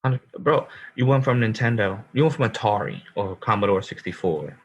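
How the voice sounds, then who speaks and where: A man's voice: lowish voice, lively intonation, moderate pace, a little hiss or hum. A man in his thirties co-hosting a casual podcast, emphatic and calling his co-host bro. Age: 30s. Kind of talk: casual chat.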